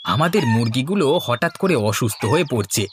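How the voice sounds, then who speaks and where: A man's voice: medium pitch, lively intonation, brisk, a little hiss or hum, natural voice. A man playing an earnest village chicken farmer in scripted cartoon dialogue. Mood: worried.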